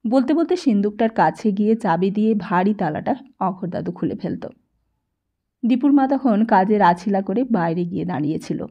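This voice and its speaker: A woman's voice: mid-range voice, expressive, normal speed, dull audio. A woman narrator reading a literary story as a scripted audiobook.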